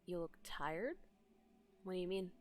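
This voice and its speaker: A woman's voice: lowish voice, lively intonation, measured pace, a little mellow, a little hiss or hum. A woman streamer reading game dialogue aloud and translating it, in a dramatic narrating manner. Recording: streamed with game music behind.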